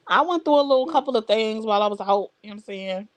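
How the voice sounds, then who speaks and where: A woman's voice: fairly high pitch, no echo. A woman hosting a casual livestream, talking bluntly and straight to her viewers. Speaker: livestream host. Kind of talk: casual live chat.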